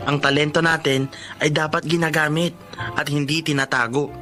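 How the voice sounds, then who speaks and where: Ronron, a slightly high-voiced youth. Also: male voice, little pitch movement, normal speed, bright, forceful tone, soft background music. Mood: earnest narrating. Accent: Filipino. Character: young student artist Ronron, cheerful, grateful. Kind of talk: Filipino radio drama acting.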